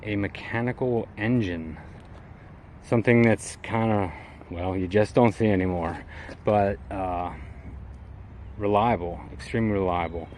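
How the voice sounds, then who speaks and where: A man's voice: on the low side, measured pace, long pauses, darkish tone, a little hiss or hum. A man giving a casual, unscripted walkaround of his vehicle in a folksy, colloquial way with hobbyist slang. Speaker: vlogger showing his vehicle build.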